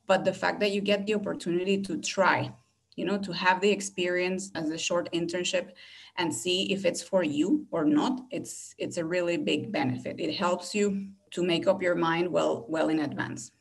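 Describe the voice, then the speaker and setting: A woman's voice: on the low side, steady tone, moderate pace, gravelly. A woman with a Venezuelan accent, a native Spanish speaker, giving an informative promotional talk as a university recruitment director in an explanatory manner. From Caracas, Venezuela.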